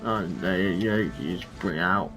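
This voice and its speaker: A man's voice: deep voice, normal speed, music in the background. A man casually commenting while he plays a game, rambling with plenty of fillers. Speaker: streamer playing a game.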